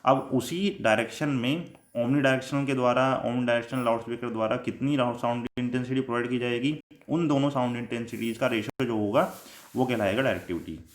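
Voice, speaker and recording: A man's voice: mid-range voice, fairly quick. A man with an Indian accent giving an explanatory electronics lecture, going step by step, repeating points and mixing in English technical terms. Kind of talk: explanatory lecture, reading definitions then paraphrasing them. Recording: echoey room sound.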